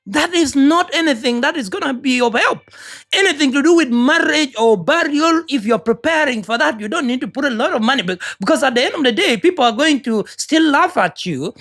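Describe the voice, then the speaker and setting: A woman's voice: high-pitched, fairly bright tone. A woman from Uganda with a Ugandan accent, giving an earnest, preachy motivational talk and often repeating words as she searches for the next one. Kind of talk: motivational monologue.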